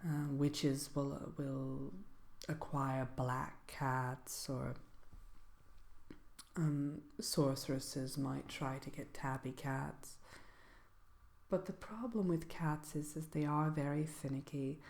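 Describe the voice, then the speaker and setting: Low, slow, creaky sorceress lecturer acted by a woman. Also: steady tone, heavily acted. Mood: calm, instructive. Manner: hesitant, gently formal, measured. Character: genteel female fairytale sorceress lecturing on magical companion animals. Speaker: seminar presenter. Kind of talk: soft-spoken ASMR roleplay.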